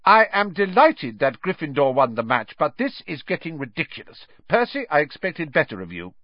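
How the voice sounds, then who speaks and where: A man's voice: fairly high pitch, big pitch swings, normal speed, dry recording, muffled recording, pitched above the performer's own voice. Man putting on a stern, scolding schoolmistress. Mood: exasperated, stern. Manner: clipped, scolding. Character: stern, indignant older female schoolteacher.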